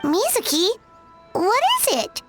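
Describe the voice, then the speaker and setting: Tiny cocky game fairy, childlike squeak, extremely high pitch, bouncy intonation, excitable. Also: female voice, takes it slowly, heavily acted. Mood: curious. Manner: excitable, exclamatory. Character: small childlike flying fairy companion, chirpy and cocky. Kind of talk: video game voice acting. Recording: music behind the voice.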